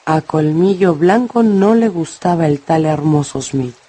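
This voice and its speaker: A man narrating a dramatised radio story with theatrical, deliberate phrasing. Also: on the high side, animated, dark tone. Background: music.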